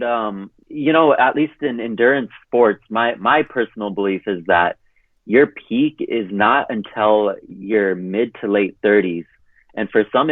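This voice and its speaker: A man's voice: fairly low pitch, no echo. A man about to turn thirty, from California, talking casually as an interview guest and rambling with many fillers. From California, USA. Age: turning 30. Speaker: guest runner. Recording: tinny phone-like line.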